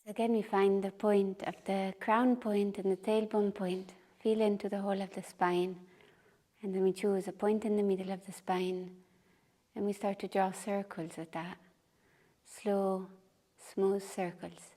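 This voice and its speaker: Woman doing guided meditation, mellow relaxed voice, pretty flat, leaves pauses between short phrases. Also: medium pitch. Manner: slow, unhurried phrases with pauses. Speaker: taiji and qigong meditation teacher. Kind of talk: guided meditation and movement instruction. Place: retreat session, live group class.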